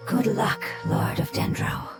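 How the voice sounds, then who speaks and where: A woman voicing a composed adult ally who talks in a measured, serious, matter-of-fact way. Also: close to an everyday voice. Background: loud game music.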